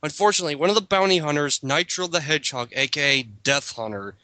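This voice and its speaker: A man's voice: on the high side, fast, pushed and bright, no echo. A man reading a story aloud as a mock dramatic reading, breaking into casual banter and stumbling over long words. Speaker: reader in a group dramatic reading.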